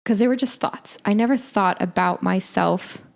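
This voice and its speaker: A young adult woman co-hosting a podcast, talking in a reflective, halting way with frequent filler words. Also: mid-range voice, gravelly. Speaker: podcast co-host. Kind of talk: casual chat.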